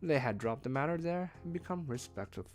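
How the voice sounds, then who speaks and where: A man reading a novel aloud as a streamed read-along, with expressive, emphatic phrasing. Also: on the high side, moderate pace, faint music behind. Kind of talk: read-along audiobook narration.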